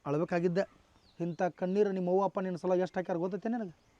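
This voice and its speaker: ಉತ್ತರ ಕರ್ನಾಟಕದ ಉಚ್ಚಾರಣೆಯ ಒಬ್ಬ ಗಂಡಸು, ಕಾಳಜಿಯುಳ್ಳ ಹಿರಿಯನ ಪಾತ್ರದಲ್ಲಿ ದೃಢವಾಗಿ ಬುದ್ಧಿ ಹೇಳುತ್ತಾನೆ. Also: male voice, high-pitched, fairly even intonation, quick pace, dark tone, natural voice. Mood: lecturing. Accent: North Karnataka Kannada. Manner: lecturing, firm, earnest. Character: stern but caring elder-brother mentor man. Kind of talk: short film drama. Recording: slightly dull with faint music.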